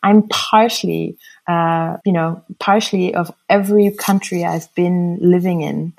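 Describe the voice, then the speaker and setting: A middle-aged woman with a mixed German and American accent, giving thoughtful, hesitant interview answers with many pauses. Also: fairly low pitch, steady tone, gravelly, darkish tone. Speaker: interview guest.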